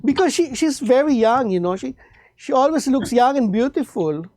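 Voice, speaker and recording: Interviewer, friendly, animated intonation. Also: high voice, normal speed, no echo. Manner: friendly, lots of short backchannel reactions. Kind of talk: casual interview.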